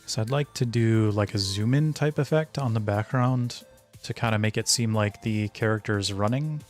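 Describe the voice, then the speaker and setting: A man's voice: lowish voice, normal speed. A man chatting casually on a live stream as he works, thinking aloud in a hesitant, self-directed way. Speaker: streamer, indie game developer. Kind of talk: casual live stream chat while working. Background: background music.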